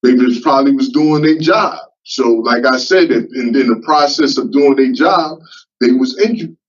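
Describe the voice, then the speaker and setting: Husky man in his fifties, halting. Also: medium pitch. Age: 50s. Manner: halting, repeats himself, frequent fillers. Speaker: parole applicant. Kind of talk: parole hearing testimony. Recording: muffled distant remote-call audio.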